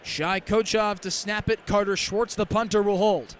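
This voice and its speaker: A young man does live radio play-by-play of American football in a distinctly high voice. His delivery is crisp and packed with detail. Stadium ambience sits faintly behind him. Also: fairly even intonation, moderate pace. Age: young adult. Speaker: play-by-play sports announcer. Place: stadium game broadcast. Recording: live outdoor broadcast with background noise.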